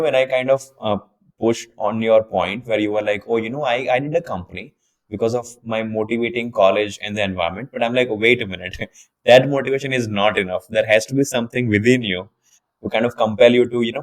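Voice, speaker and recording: A man's voice: on the low side, fast talker, low-quality recording. A young man from India hosting a podcast, chatting casually and restarting his sentences with repeated words as he thinks aloud. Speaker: podcast host. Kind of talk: casual interview chat.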